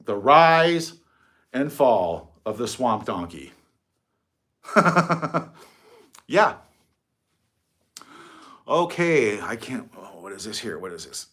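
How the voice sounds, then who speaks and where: A man's voice: mid-range voice, sing-song, very slow, long pauses, fairly bright tone. A middle-aged man hosting a casual livestream from his phone, rambling, mocking and swearing freely. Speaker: livestream host.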